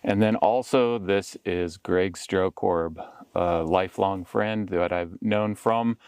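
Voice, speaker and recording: A man's voice: deep voice, takes it slowly, darkish tone, smooth, no room sound. A middle-aged man who grew up in Saudi Arabia, explaining and narrating as the host of an expedition documentary vlog.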